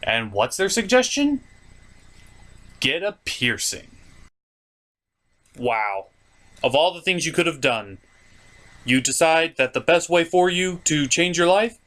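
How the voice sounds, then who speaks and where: A male comedy review host with a fairly high voice that swings widely in pitch. He delivers sarcastic scripted commentary in a theatrical, mocking way. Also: big pitch swings, slow, long pauses, fairly bright tone. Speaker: host of a puppet review show.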